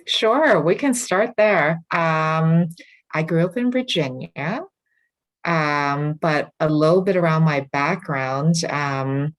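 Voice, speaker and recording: A woman in her forties from Vietnam shares her life story as a podcast guest, calling in from afar. Her voice sits low, and she talks in a reflective, earnest way. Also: lively intonation, takes it slowly. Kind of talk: casual interview. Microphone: remote call.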